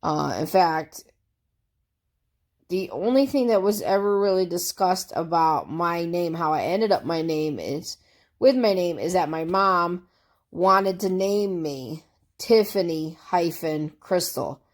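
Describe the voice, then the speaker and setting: A woman's voice: fairly low pitch, animated, slow. A woman vlogger chatting casually through tag questions, congested from a cold, rambling and easygoing. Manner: rambling, easygoing, with fillers and asides.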